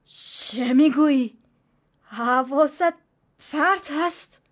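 A curt, impatient young master fires off demanding questions, as a woman reading a story puts him on. The voice sits high and tense. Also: lightly acted. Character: young man, master of the house, startled and impatient.

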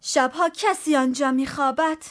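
Woman voicing a curt young master, impatient, demanding, high storybook voice. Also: a bit bright. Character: young man, master of the house, startled and impatient.

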